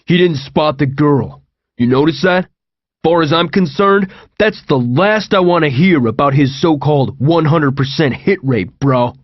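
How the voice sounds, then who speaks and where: A man's voice: fairly high pitch, unhurried, no echo, pitched above the performer's own voice. A man voicing a hostile, swaggering armed male inmate who talks in a taunting, combative way. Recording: dull lo-fi audio.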